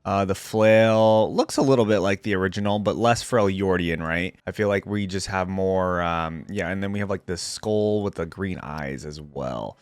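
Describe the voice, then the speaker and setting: A man's voice: low-pitched, normal speed, few pauses, clean tone, no room sound. Casual man reacting in a dry-sounding space. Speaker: reaction video host.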